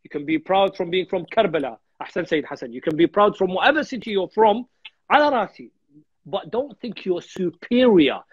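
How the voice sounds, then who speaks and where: A man's voice: sits high, animated, a little fast, slightly rough, dry recording, highs cut off. A man in his thirties from Iraq, a guest speaker in a religious discussion on a video call, earnest and repeating words as he thinks. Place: home room on a video call.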